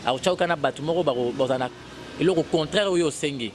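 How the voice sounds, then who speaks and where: A man's voice: fairly high pitch, a little fast, noisy background. A Congolese man with a Congolese French accent, answering interview questions casually and switching between French and Lingala. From Congo (DRC), Kasai. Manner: mixes French and Lingala, rambling with restarts. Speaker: interviewed actor.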